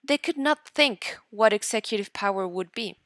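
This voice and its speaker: Lady teacher doing a read-aloud lesson, careful short phrases, medium pitch, really dry sound no room echo. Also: animated, a bit bright. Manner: deliberate, pausing between short phrases to guide students. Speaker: teacher reading a source aloud for students.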